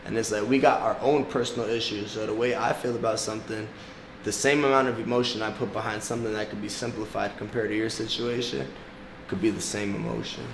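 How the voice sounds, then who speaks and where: A man's voice: fairly low pitch, steady tone, quick pace, vocal fry. Chill young guy, portuguese first language, slangy and laughing a lot, echoey noisy room. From South Florida, United States. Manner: laid-back, slangy, laughs often. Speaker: music artist guest. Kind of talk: casual interview chat. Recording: echoey room with background noise.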